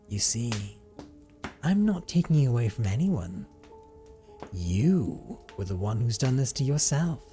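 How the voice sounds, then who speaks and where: A man's voice: medium pitch, dark tone. A man playing a brooding, possessive vampire recluse in an audio roleplay monologue, with formal, theatrical phrasing and a teasing streak. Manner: formal, theatrical, deliberate phrasing with condescending endearments. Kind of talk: dramatic first-person audio roleplay monologue.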